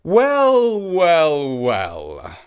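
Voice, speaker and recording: A man's voice: a little high, wildly swooping pitch, extremely slow, smooth, dry recording, clean studio sound, voice pushed up, heavily acted. A man voicing a grand, amused, all-seeing male sky god in a children's folktale, with a theatrical manner. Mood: amused, impressed.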